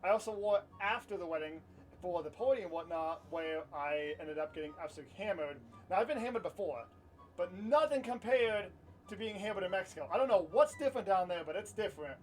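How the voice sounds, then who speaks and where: High-voiced man telling a casual story. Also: expressive, fast talker, far from the mic, low-quality recording. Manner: informal, swearing, rambling with repeated phrases. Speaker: vlogger telling a personal story. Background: light music.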